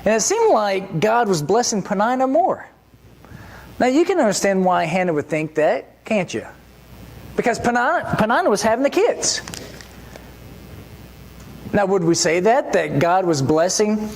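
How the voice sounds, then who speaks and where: A mid-pitched preacher talks through a church sermon as if chatting with friends. The delivery keeps turning to the congregation with rhetorical questions. A faint hiss sits under the voice. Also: very animated, a little slow, creaky, long pauses. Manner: conversational, full of rhetorical questions.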